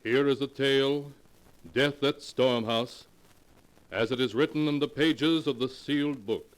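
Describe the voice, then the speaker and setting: A man playing an ominous storyteller, narrating 1940s radio horror with theatrical, slow dramatic pauses. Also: mid-range voice, lightly acted. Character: ominous male storyteller of dark, strange tales. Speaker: narrator and host of a horror anthology. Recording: hissy 1940s radio recording, long reverb.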